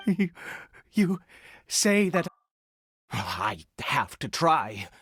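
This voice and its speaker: An actor playing a desperate, devoted father in a video game, speaking in a halting, fervent, emotional manner. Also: on the high side, big pitch swings, a bit bright, exaggerated voice. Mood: hopeful, desperate. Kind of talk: dramatic video game voice acting.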